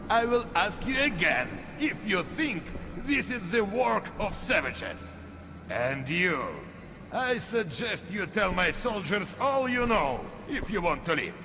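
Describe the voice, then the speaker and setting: An actor playing a cold, commanding Soviet colonel with a Russian accent and a mockingly polite, menacing manner in a video game. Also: male voice, high-pitched, noisy background, full character voice. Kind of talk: video game cutscene acting. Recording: long hall reverb, music behind.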